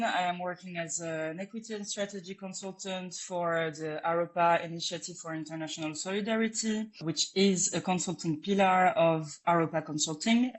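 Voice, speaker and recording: A woman who grew up in Lebanon and France, speaking as a consultant guest on a podcast. She talks thoughtfully and hesitantly, with many fillers and restarts. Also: lowish voice. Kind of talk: conversational interview.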